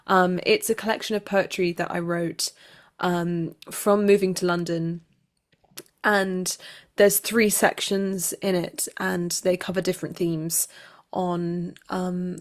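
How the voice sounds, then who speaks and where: A woman with a fairly low voice talks as a guest in a casual interview. She is playful and self-deprecating, speaking as an actor and writer in easy conversation.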